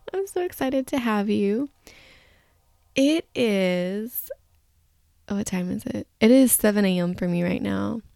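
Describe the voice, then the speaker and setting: A woman's voice: medium pitch, creaky, darkish tone, no echo, clean studio sound. A woman hosting a podcast solo in a casual, chatty, rambling and candid way, with a slightly groggy voice. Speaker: podcast host.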